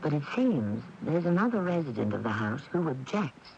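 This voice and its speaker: A man's voice: on the high side, very animated, normal speed, gravelly, a little mellow. A man narrating a radio drama in a scripted, storytelling style. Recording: dull, noisy old radio broadcast recording.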